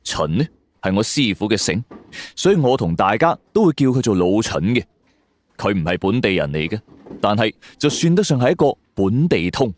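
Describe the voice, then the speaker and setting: A man narrates a suspense story in the first person, in a theatrical, deliberate way. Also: on the low side, sing-song. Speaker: storyteller narrating audio drama. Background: background music.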